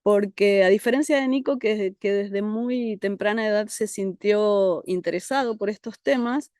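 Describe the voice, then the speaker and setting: A woman from Argentina with an Argentine Spanish accent, a therapist speaking as a guest in a calm, reflective interview. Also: medium pitch, no echo, studio quality. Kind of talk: conversational interview. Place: country house outdoors among trees.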